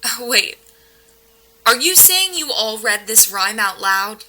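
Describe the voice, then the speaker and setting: Paranormal investigator, high sharp voice, earnest, urgent, know-it-all, horror audio drama. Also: female voice, big pitch swings, takes it slowly, exaggerated voice. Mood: scolding, explaining. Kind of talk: audio play acting, naturalistic horror dialogue.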